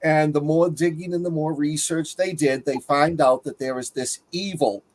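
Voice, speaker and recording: A man on a movie review panel talking casually, pausing mid-sentence to find his words. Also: on the high side, steady tone, darkish tone.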